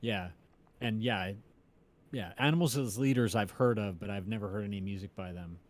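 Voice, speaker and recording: A man's voice: fairly low pitch, normal speed. A man co-hosting a casual, opinionated chat about music. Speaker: co-host.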